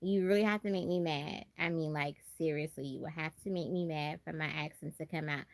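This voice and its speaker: A woman's voice: low-pitched, steady tone, a little fast, no room sound. A woman from Brooklyn with an American, country Southern-tinged accent, chatting casually and expressively as a livestream host, repeating phrases for emphasis. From Brooklyn, New York. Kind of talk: casual chat.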